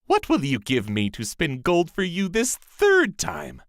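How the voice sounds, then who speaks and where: A man's voice: on the high side, huge pitch swings, measured pace, vocal fry, no room sound, clean studio sound, pitched above the performer's own voice, exaggerated voice. A man voicing a merry, sly little dwarf in a storybook, with a theatrical, singsong delivery. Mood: bargaining. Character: little male dwarf, merry, sly bargaining magical creature. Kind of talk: storybook character voice.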